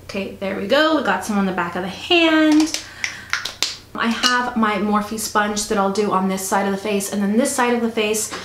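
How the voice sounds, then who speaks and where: A woman with a cold talking casually through a makeup review, chatty and soft-spoken, sometimes close to a whisper. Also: mid-range voice, moderate pace. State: stuffy, fighting a cold. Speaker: beauty vlogger. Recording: echoey room, faint noise.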